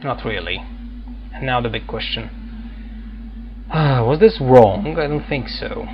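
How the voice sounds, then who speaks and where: A man doing casual game commentary, thinking aloud in halting fragments as he plays. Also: medium pitch, expressive, highs cut off, slight background noise. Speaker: gaming video host playing and commenting.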